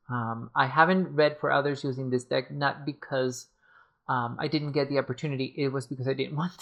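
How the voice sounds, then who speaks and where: Gravelly woman, hesitant and losing her voice. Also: very deep voice. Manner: hesitant, many fillers and restarts. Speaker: tarot deck reviewer. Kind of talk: casual video review.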